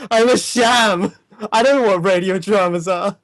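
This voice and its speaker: A man's voice: sits high, measured pace, fairly bright tone, no echo, clean studio sound. A man chatting casually in a jokey, self-deprecating way. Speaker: livestream guest and voice performer. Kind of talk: casual chat.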